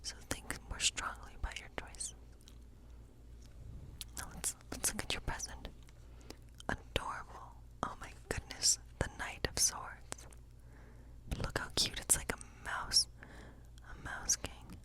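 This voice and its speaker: A woman playing a gentle witch in an ASMR tarot role play, speaking in a soft, breathy, unhurried whisper. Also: lightly acted, rough recording. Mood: soft, musing. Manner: gentle, unhurried, softly musing. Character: friendly cottage witch woman reading tarot by night.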